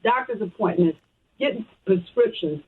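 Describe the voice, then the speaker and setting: A woman's voice: medium pitch, expressive, moderate pace, breathy edge. A woman from Chicago with an American accent, answering conversationally as a phone-in guest on a radio interview. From Chicago, United States. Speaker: radio guest, social services program supervisor. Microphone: phone call. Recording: muffled, tinny phone line.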